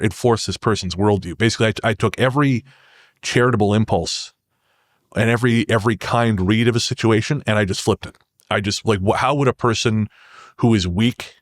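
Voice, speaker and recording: A man with a fairly low voice talks casually as a podcast guest and writer. He is earnest and halting, often rephrasing as he goes. The sound is very dry and close, with no room echo. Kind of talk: casual podcast conversation, storytelling.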